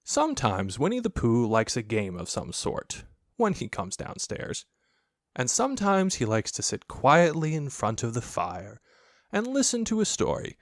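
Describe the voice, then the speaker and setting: A man reading a children's story aloud as an audiobook narrator, expressive and playful in his storytelling. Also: mid-range voice, measured pace, no room sound, clean studio sound. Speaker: audiobook reader.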